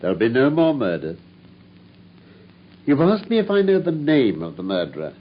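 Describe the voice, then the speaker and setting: An actor playing a brilliant, self-assured male detective in a radio drama, speaking in a crisp, measured way. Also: medium pitch, huge pitch swings. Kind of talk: 1950s radio drama acting. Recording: dull old radio broadcast with faint hiss.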